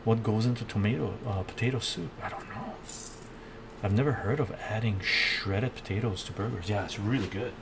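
A man's voice: on the low side, moderate pace, noisy background. An older man live-streaming from his home kitchen, thinking aloud while he cooks and answering viewers' chat. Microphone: fixed camera mic, moves around the kitchen.

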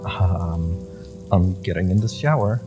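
Man acting a deep-voiced, flustered nerdy hero. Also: over music. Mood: nervous, overwhelmed. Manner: halting, flustered, stammering. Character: nervous, nerdy young male superhero, flustered and earnest. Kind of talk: roleplay audio acting.

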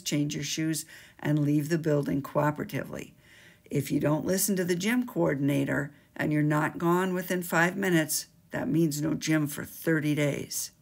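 Gravelly, husky older woman teacher. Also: low voice. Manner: emphatic, sing-song teacherly delivery. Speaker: school staff explaining gym rules. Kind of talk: instructional school video. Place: small school office, indoors. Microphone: webcam at desk.

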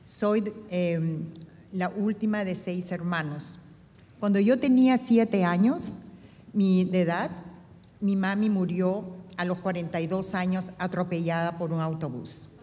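Woman from Peru, Peruvian Spanish accent, earnest measured reading, mellow dark voice, stand mic, long church-hall reverb. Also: lowish voice, fairly even intonation. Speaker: church member giving her testimony. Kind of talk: reading a personal testimony aloud. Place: church stage before an audience. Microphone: stand microphone.

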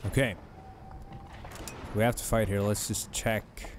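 Slow, hoarse gamer talking to himself. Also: male voice, on the low side, level tone, a little hiss or hum. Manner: slow, rambling, thinking aloud. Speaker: let's play gamer. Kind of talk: casual gameplay commentary.

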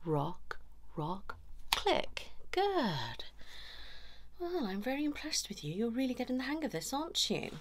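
A woman's voice: fairly high pitch, very animated, a little slow. A woman in her thirties playing a chiropractor in an ASMR roleplay, giving short instructions and praise up close, slipping in and out of whispers. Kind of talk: fast ASMR roleplay, personal attention. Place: small indoor room. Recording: noisy lo-fi audio with clicks.

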